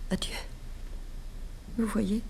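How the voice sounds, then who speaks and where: A woman's voice: drawn-out words, dark tone, slight background noise. An actress playing a brave, devoted, emotional young female sidekick in an old radio crime serial. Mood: faint, dying.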